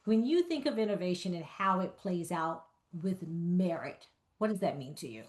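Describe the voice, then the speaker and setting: A woman moderating a conference fireside chat, lively and quick to agree with her guest. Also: on the low side. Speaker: interviewer, moderator.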